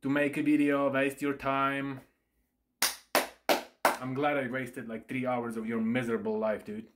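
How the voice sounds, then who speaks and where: A man's voice: medium pitch, monotone, measured pace, very noisy. A man reacting to a video about himself, heckling and sarcastic, swearing freely. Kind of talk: casual reaction commentary.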